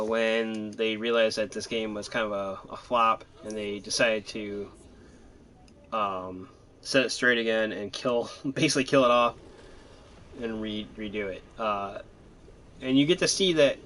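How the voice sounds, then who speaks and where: A man's voice: lowish voice, fairly bright tone, a little hiss or hum. A man giving casual let's-play commentary in a laid-back, hesitant, rambling way. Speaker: gaming commentator.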